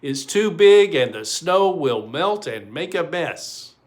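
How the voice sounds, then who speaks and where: A man's voice: on the high side, very animated, slow pace. A man voicing stern, practical parents in a storybook, scolding and exasperated.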